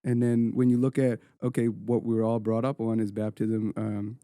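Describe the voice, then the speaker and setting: A man's voice: on the low side, monotone, moderate pace, dark tone, no room sound, studio quality. A young man hosting a podcast, speaking earnestly and building his points with "it's like.". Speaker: podcast host. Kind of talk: casual conversational interview.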